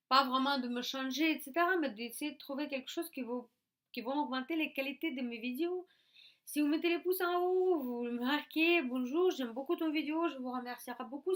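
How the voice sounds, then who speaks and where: A woman's voice: high voice, fairly bright tone. A woman in her thirties from Russia with a Russian accent, chatting casually to camera at home, hesitant with many fillers and restarts. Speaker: YouTuber. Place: at home in her craft room.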